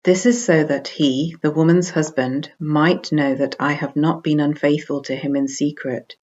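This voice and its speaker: A woman reading a scripted religious study in a measured, formal way. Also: deep voice, darkish tone. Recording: dull, muffled lo-fi recording.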